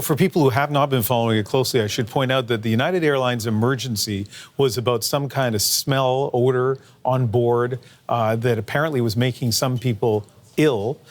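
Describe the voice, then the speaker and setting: Male news host doing a live interview, mid pitch, conversational, working out his questions as he talks.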